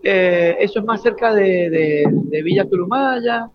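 A man's voice: high-pitched, no echo, highs cut off. A man with an Argentine accent conducting a live radio interview, hesitating and repeating words as he forms his questions. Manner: halting, repeats words while forming questions.

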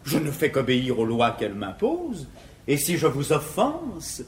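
An actor playing an ardent, courteous young gentleman suitor in classical French verse comedy, with polished, eloquent declamation. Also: medium pitch, sing-song, unhurried, slight background noise. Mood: pleading indignation. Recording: echoey 1950s theatre recording.

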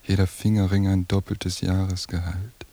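An actor playing a cold, contemptuous surveillance watcher in a radio drama monologue, with a detached, deliberate delivery and a husky voice. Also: male voice, low voice. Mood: cold disgust. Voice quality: gravelly, dark, husky. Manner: slow, flat, detached, deliberate. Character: cold, contemptuous male surveillance watcher describing his target.

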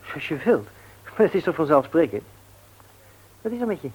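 An actor playing a courteous, attentive suitor in a radio play. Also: male voice, fairly high pitch, very animated, normal speed, phone-quality sound. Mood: concerned. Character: courteous, attentive man visiting his sweetheart. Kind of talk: Dutch radio play acting. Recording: noisy old radio play recording.

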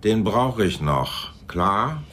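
An actor in a German radio drama playing a shrewd, commanding intelligence chief who speaks in a measured, dryly ironic way. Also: male voice, on the low side, very animated, a bit bright, a little hiss or hum, full character voice.

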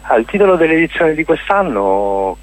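A man's voice: fairly high pitch, very animated, normal speed, rough recording. A man answering as a festival director in a radio interview, discursive and hesitant as he searches for words.

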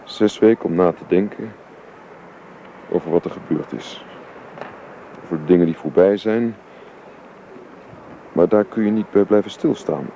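An actor playing a grave, troubled father in a radio drama, speaking in a halting, solemn manner. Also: low-pitched, vocal fry, dark tone. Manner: halting, solemn, repeats himself. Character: grave, troubled widowed father dismissing his housekeeper. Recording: dull, noisy old radio recording.

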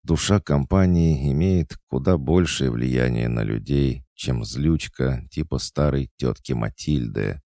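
A lonely old witch, as a man puts her on, sighing and sorrowful as she lectures. The voice sits a little higher than his own but keeps its dark, gravelly grain, recorded dry and close. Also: unhurried, few pauses, no room sound, exaggerated voice. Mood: rueful.

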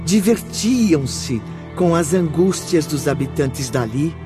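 A man narrating a scripted drama as storyteller, with emphatic, theatrical pauses. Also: a little high, lively intonation, over music.

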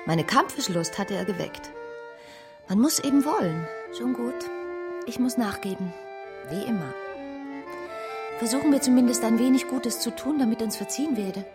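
A woman's voice: on the high side, expressive, moderate pace, long pauses, music in the background, lightly acted. An actress playing a wary, principled woman in a radio drama, speaking with earnest reproach. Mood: resigned, resolute. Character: wary, principled woman, a cautious but combative sister.

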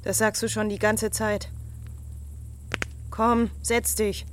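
A woman's voice: medium pitch, little pitch movement, slow pace, a little hiss or hum, lightly acted. A woman acting in a German radio drama as an anxious, impatient woman who keeps questioning. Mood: anxious, insistent. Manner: urgent, questioning.

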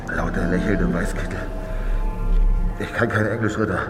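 A man's voice: sits low, fairly even intonation, normal speed, reverberant, big-room sound, music in the background, close to an everyday voice. An actor playing an uneasy, wry male investigator in a radio drama, wary with a dry irony. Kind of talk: German radio drama acting.

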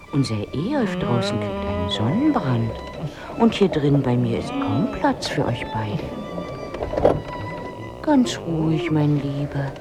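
A woman's voice: deep voice, exaggerated voice. Lilting, soothing, babying woman. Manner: cooing, soothing baby talk. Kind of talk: German radio drama acting.

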